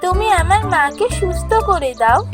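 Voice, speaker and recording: A bubbly young village girl in a cartoon fairy tale, bright and high, as a woman storyteller puts her on. She talks eagerly, her pitch bouncing with excitement. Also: normal speed, music in the background.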